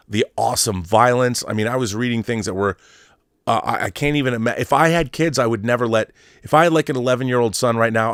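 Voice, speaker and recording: A man's voice: on the low side, fairly bright tone, no room sound, studio quality. An American man in his fifties talking casually and with opinions as a show host, emphatic and nostalgic, repeating phrases for stress. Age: 50s. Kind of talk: opinionated casual monologue on pop culture.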